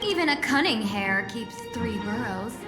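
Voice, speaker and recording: A woman voicing a cunning, poised fox woman in a video game, coy, elegant and deliberate. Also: a little high, lively intonation, reverberant, over music, close to an everyday voice. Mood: poised, bluffing.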